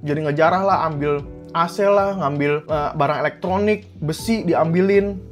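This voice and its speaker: Angry young vlogger, blunt and swearing. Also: male voice, fairly high pitch, moderate pace, faint music behind. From Indonesia. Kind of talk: heated commentary to camera.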